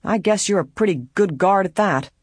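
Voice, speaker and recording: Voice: mid-range voice, expressive, moderate pace, husky, dry recording, clean studio sound, voice pushed up. A narrator voicing an indignant man of about fifty who protests insistently in an audiobook.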